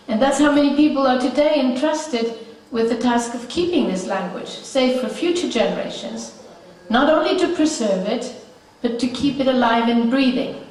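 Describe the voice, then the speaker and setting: A woman with an Icelandic accent delivers a prepared conference talk in a measured way, often pausing on "uh." Her voice sits a little high. It rings through a very echoey hall and is picked up from some distance away. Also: darkish tone. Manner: measured, pausing with frequent uh fillers. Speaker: conference speaker.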